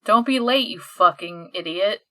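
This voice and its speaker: Bright, forceful woman in casual chat. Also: mid-range voice, normal speed. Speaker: podcast co-host. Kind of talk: casual chat, recapping a fan comic.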